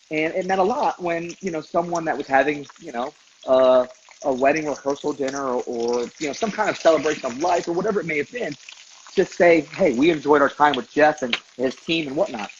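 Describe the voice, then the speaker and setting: A man, a professional chef, chatting casually and reflectively as an interview guest while he cooks. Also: on the high side. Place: kitchen, cooking on a livestream. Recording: dull, noisy video call, sizzling pan. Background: food frying and sizzling.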